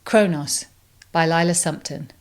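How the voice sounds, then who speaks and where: A woman reads poetry aloud. Her voice sits fairly low, and she takes it slowly and with measure, setting down each phrase on its own. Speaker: poetry reader. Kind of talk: poetry recitation.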